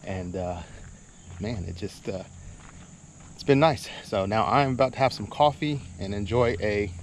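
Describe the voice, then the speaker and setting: Middle-aged male vlogger, low voice, relaxed rambling delivery, recorded outdoors with light nature noise. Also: unhurried. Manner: laid-back, rambling, chuckles while talking. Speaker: vlog host. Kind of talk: casual outdoor vlog chat. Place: outdoors at a campground. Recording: outdoor noise, birds and insects.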